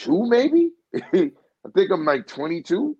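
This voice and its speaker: A man's voice: high-pitched, sing-song, slow, warm tone, slightly rough, no room sound. Rambling man on a dull, dry recording. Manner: rambling storyteller with frequent "you know what I'm saying". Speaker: guest singer. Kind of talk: casual interview talk.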